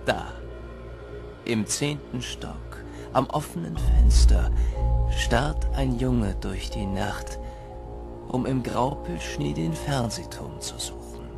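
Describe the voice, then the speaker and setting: A man narrating a dark winter legend as a solemn, dramatic storyteller in an audio play. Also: medium pitch, animated, takes it slowly, vocal fry, music in the background, exaggerated voice.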